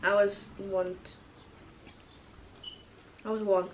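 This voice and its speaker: A woman's voice: medium pitch, little pitch movement, slow, lo-fi sound, slight background noise. A young woman, chatting casually while eating and chiming in with short, laid-back remarks. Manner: laid-back, brief interjections. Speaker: vlogger, younger sister.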